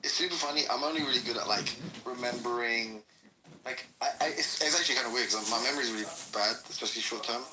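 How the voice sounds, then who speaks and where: A man chatting casually in a slangy, halting, informal way. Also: mid-range voice, noisy background. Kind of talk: casual chat.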